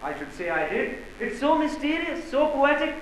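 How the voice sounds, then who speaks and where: In a very reverberant room, an actor plays a blustering gentleman creditor in a stage farce. His voice is high and forceful for a man, sarcastic and declamatory as he bullies and scorns. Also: sing-song, moderate pace, heavily acted. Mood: mocking. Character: blustering, hot-tempered, misogynist gentleman creditor. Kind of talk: theatrical stage farce acting. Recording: very echoey room, faint noise.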